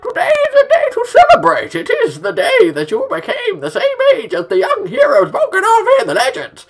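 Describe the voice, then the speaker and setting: A man voicing a kindly, doting old grandmother in a high falsetto, slow and cooing, for a video game. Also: wildly swooping pitch, gravelly, heavily acted.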